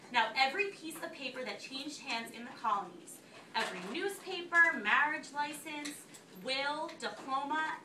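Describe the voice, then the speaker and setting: A woman teacher reading aloud to a class in an instructive way, pausing to check understanding with questions. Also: high voice, bright, forceful tone. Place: school classroom. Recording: echoey classroom, distant mic, faint noise.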